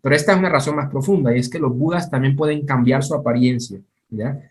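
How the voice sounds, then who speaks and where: A man teaching in a relaxed conversation on a video call, explaining step by step and checking in with his listener. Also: medium pitch, fast talker. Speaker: Buddhist teacher.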